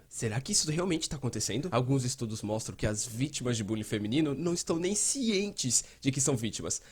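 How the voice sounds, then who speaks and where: A Brazilian man with a husky, slightly rough voice at a medium pitch. He narrates a dubbed explainer in a conversational style, laying points out like a presenter. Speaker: host and fan dubber.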